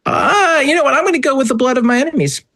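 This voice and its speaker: A man's voice: extremely high pitch, lively intonation, fast, pushed and bright. A man co-hosting a podcast, bantering casually with mock-dramatic jokes. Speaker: podcast co-host.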